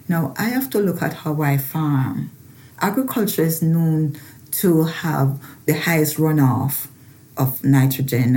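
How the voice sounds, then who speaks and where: Jamaican lady farmer, low voice, interview style, repeats stuff to drive it home. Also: dark tone. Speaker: farmer and agricultural researcher. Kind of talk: personal interview talk.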